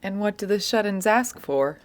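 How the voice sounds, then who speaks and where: A woman with an American accent playing a patient, measured interviewer who gently probes, in a naturalistic audio drama. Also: medium pitch, close to an everyday voice. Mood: patient, probing. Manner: measured, calm, probing. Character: patient, probing woman interviewer recording an oral history.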